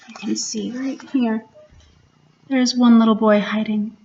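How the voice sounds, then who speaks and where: A woman storyteller reading a children's bedtime story aloud in a measured, expressive way with dramatic emphasis. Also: a little high, vocal fry, highs cut off, a little hiss or hum. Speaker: library storyteller, host.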